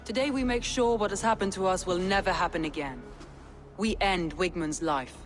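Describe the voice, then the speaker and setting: A woman's voice: lowish voice, normal speed, faint music behind, lightly acted. Woman playing a grave, commanding Danish clan leader. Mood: solemn, stern. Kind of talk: cinematic game voice acting.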